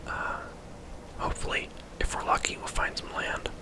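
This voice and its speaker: An ASMR video host whispering casual, rambling gameplay commentary in a breathy, unvoiced voice. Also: normal speed. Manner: soft-spoken, rambling, casual.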